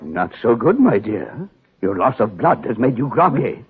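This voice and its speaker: A thin, narrow old radio recording carries an elderly gentleman murderer. His courteous, precise speech swings widely in pitch, with a cunning, ornate formality. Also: big pitch swings, a little slow, noisy background. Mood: calmly menacing. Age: older. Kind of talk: 1940s radio acting.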